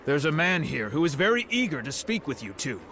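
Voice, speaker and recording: A man's voice: on the high side, sing-song, brisk, fairly bright tone, a little hiss or hum. A man playing a deferential, formally polite attendant in a video game. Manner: courteous, formal. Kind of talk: dramatic game dialogue.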